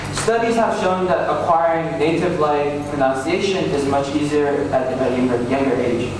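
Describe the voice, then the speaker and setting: A man's voice: on the high side, moderate pace. Young Korean man presenting in an echoey hall. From Seoul, South Korea. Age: teens or young adult. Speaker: student speaker giving a talk. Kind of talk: rehearsed public presentation. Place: school event hall with audience. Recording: echoey hall, distant mic, noisy.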